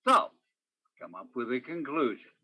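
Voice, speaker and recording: A man performs a world-weary radio-play detective with a bright, forceful voice. He tells his case dramatically, in terse hardboiled lines that turn poetic and moody, like a 1950s noir broadcast. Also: medium pitch, sing-song, normal speed, breathy edge, heavily acted. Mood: brisk, businesslike.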